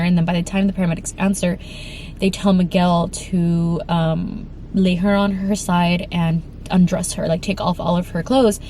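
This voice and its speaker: A woman's voice: fairly low pitch, a little mellow, slight background noise. Congested young woman telling a frightening story. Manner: rambling with many fillers and restarts. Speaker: vlogger. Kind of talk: emotional storytime vlog.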